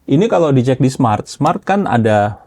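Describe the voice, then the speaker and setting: A man's voice: mid-range voice, animated, moderate pace, a little mellow, dry recording. A man casually explaining technical matters as an expert, often checking understanding with short tag questions. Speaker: audio system engineer being interviewed as an expert.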